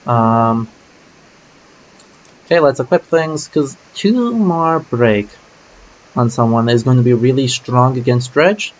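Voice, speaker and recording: A man's voice: mid-range voice, slow pace, pauses often. Man, game streamer, casual thinking-aloud commentary, slightly dull sound, faint hiss. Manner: casual, musing aloud while playing. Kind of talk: let's play commentary.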